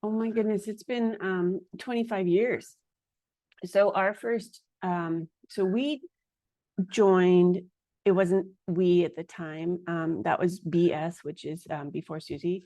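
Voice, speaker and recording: Podcast host: woman, native Hungarian speaker, lower pitch, rambling with frequent hesitations, dry sound. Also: fairly even intonation, slow, mellow, no room sound. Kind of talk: casual podcast conversation.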